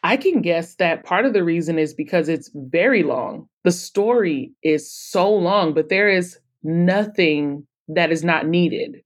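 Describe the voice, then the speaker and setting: A woman's voice: fairly low pitch, expressive, measured pace, few pauses. A woman chatting casually as a podcast guest, explaining things in a rambling, emphatic way. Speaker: podcast guest, author and publisher. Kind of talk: casual interview chat.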